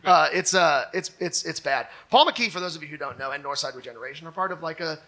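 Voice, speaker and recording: A man hosting a live comedy show on stage, giving sarcastic commentary in a halting, stammering way. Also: fairly high pitch, bright, forceful tone. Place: on stage before a live audience.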